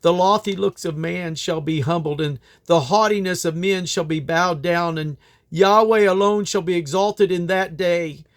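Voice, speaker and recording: A man's voice: high-pitched, fairly even intonation, normal speed. A man reading Bible scripture aloud in old King James English, in a solemn, declamatory manner. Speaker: Bible reader.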